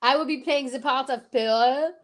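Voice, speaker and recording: A woman with a fairly high voice co-hosts a live show. She talks in excited, casual banter and gushes theatrically, with showy exclamations as she addresses her audience. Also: normal speed. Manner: theatrical, gushing, sing-song exclamations.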